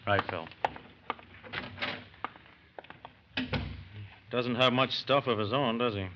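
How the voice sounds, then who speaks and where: An actor playing a sober, methodical, businesslike FBI agent in an old-time radio drama. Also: male voice, mid-range voice, moderate pace, lightly acted. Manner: businesslike, clipped, authoritative. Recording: muffled, slightly noisy old radio recording.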